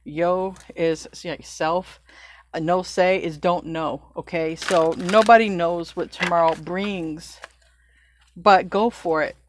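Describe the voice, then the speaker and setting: A woman's voice: fairly low pitch, fairly even intonation, takes it slowly, a little hiss or hum. A middle-aged woman gives a casual spiritual tarot reading. She talks loosely, corrects herself mid-sentence, and chats as if to a friend. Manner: chatty, loose, self-correcting, laughs at own slips. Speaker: tarot reader.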